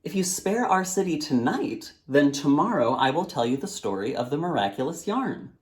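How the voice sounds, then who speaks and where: Man playing a brave, high-pitched storybook boy. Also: sing-song, moderate pace. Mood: coaxing. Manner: earnest, theatrical, polite. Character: brave, clever, courteous young storyteller boy.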